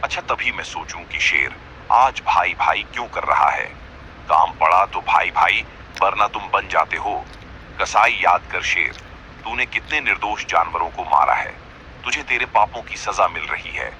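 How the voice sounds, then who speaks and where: A man's voice: sits low, animated, measured pace, bright, forceful tone, slight background noise, voice pushed down, exaggerated voice, rough recording. A man voicing a bitter, scolding bear, a wronged father, in a cartoon animal fable. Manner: scolding, defiant.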